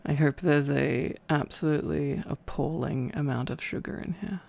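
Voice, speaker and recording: A tired man in an intimate ASMR boyfriend roleplay, rambling affectionately in a soft, sleepy murmur that often slips toward a whisper. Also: on the high side, fairly even intonation, moderate pace, gravelly.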